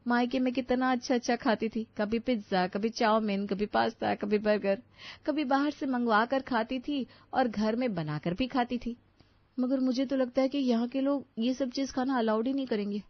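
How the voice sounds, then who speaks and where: A woman's voice: fairly high pitch, fairly even intonation, normal speed, dry recording, dull audio, voice pushed up, lightly acted. A woman voicing a timid, polite and wistful young new bride in a story.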